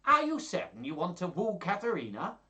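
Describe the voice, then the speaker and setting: A man voicing a fussy, agitated suitor who is quick to anger in a storybook. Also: high voice, huge pitch swings, bright, forceful tone, voice pushed up, exaggerated voice. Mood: alarmed.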